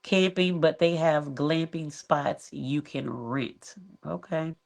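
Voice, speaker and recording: A woman's voice: deep voice, takes it slowly, vocal fry, a little mellow. A woman with a nasal voice chats as a podcast co-host. She talks in a loose, self-deprecating way and laughs at herself easily. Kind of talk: casual chat.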